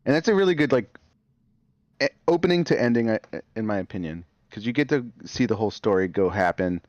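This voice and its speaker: A man's voice: on the low side, animated, fast, a little mellow, no echo, lo-fi sound. A man chatting casually as a podcast guest, rambling with lots of fillers and restarts.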